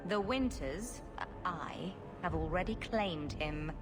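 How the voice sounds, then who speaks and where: A woman's voice: lowish voice, lively intonation, slow, over music. A woman playing a brash, callous mercenary leader in video game dialogue. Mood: gloating.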